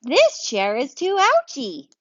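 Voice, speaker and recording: A woman's voice: extremely high pitch, huge pitch swings, creaky, no echo, pitched above the performer's own voice, full character voice. A woman doing a fussy storybook bear. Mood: picky. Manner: slow, drawn-out complaints. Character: fussy grown-up male bear tasting and testing. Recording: dry, dull lo-fi sound.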